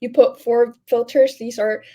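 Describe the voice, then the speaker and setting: A woman's voice: fairly high pitch, flat delivery, measured pace, dark tone. A woman in her twenties, a student researcher, explaining things conversationally as an interview guest. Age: 20s. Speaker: podcast guest, public health student researcher.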